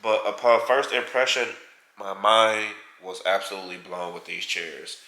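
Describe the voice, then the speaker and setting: A man's voice: fairly low pitch, fairly even intonation, moderate pace, pushed and bright. A man, nasal from a head cold, chatting casually and rambling through a tour of his home theater. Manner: rambling, self-correcting. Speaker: vlog co-host. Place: large home theater room, indoors. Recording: echoey room.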